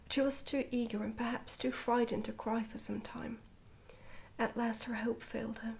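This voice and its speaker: A woman's voice: on the high side, little pitch movement, moderate pace. Whispering woman reading a storybook, ASMR style. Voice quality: whispery, breathy, soft-spoken. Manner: gentle, hushed, careful storytelling. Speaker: ASMR book reader.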